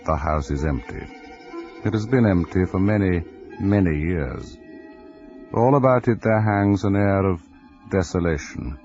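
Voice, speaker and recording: A very low, sinister storyteller narrates radio horror with a theatrical, varied delivery. The broadcast is slightly muffled, with faint music beneath. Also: male voice, animated, a little mellow. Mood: ominous. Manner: ominous, deliberate, theatrical. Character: sinister, macabre male storyteller host.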